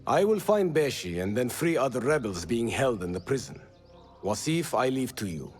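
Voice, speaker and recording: A man portrays an impassioned video game rebel leader with a mid-range, animated voice. He sounds resolute and expressive, with music playing behind. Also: mid-range voice, normal speed, natural voice. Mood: earnest.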